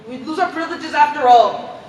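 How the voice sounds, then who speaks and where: A woman playing a militant female plotter in a stage play, declaiming with dramatic pauses. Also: high voice, darkish tone, close to an everyday voice. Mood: grave, dismayed. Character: fervent, militant female plotter proposing grisly plans. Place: theater stage. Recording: distant, echoey theater hall, faint noise.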